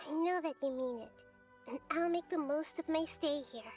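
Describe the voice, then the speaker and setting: Woman voicing a very high-pitched sweet young girl. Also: normal speed, soft background music, natural voice. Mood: warm, grateful. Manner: sweet, poetic, earnest. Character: cheerful, gentle young bird-loving girl in a fantasy game. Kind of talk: scripted game character dialogue.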